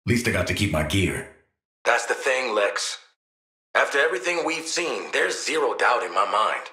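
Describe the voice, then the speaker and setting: A man's voice: fairly low pitch, sing-song, moderate pace, sharp tone, echoey room, exaggerated voice. An actor playing a cynical, cocky assassin and protective father in a video game, with an American accent and a wry, slangy, streetwise way of talking. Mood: wry, confident. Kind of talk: video game character voice lines.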